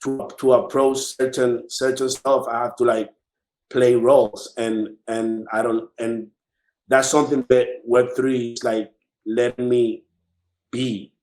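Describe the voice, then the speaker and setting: Man, Dominican Spanish accent, mid-pitched, casual interview talk, halting repetitions, echoey recording. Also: little pitch movement, darkish tone. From Dominican Republic. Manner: halting, repeats words and phrases as he searches for them. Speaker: artist, interview guest. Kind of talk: casual conversation.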